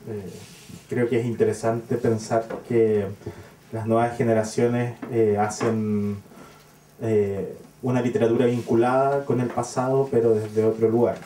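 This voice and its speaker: A man with a Chilean Spanish accent, a writer answering interview questions with hesitant pauses. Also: mid-range voice, level tone, mellow, slight background noise. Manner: hesitant, many pauses and filler sounds. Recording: roomy, distant mic with faint ambience.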